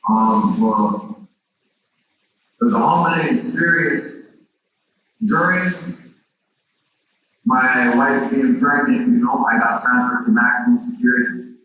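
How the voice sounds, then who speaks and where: A man's voice: medium pitch, takes it slowly, long pauses. A man telling personal stories in an interview, speaking earnestly and with emphasis. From Canada. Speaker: interview guest, incarcerated artist. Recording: roomy, muffled, distant, faintly noisy call.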